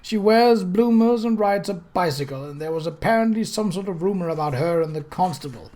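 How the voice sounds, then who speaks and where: A sulky young gentleman of the gentry, acted by a male reader in a period storybook. He is wry and self-pitying, sighing through theatrical upper-class phrases in a somewhat high voice. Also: expressive, fairly quick. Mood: petulant.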